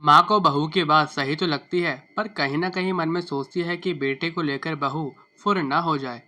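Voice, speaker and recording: Bright, forceful male story narrator. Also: fairly high pitch, steady tone, moderate pace. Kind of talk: scripted Hindi moral-story narration. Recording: music bed under the voice.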